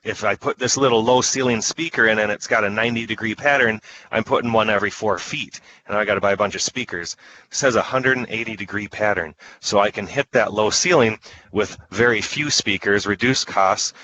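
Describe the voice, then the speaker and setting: A man's voice: fairly low pitch, bright, forceful tone, slight background noise, low-quality recording. A man giving an informal product presentation online, talking in a conversational, enthusiastic salesman's way as a trainer.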